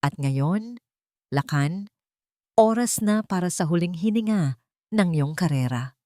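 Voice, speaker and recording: A woman's voice: lowish voice, lively intonation, unhurried, warm tone, no room sound, studio quality. A woman voicing a bitter, defiant wronged wife out for revenge, hard and taunting, in a dramatic audio story. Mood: cold menace.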